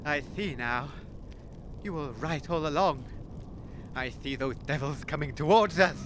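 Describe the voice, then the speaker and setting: An actor playing an eccentric, gallant inventor in an audio comedy drama, grave and theatrical, declaiming dramatically. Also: male voice, fairly high pitch, measured pace, a bit bright, a little hiss or hum. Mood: grave, urgent.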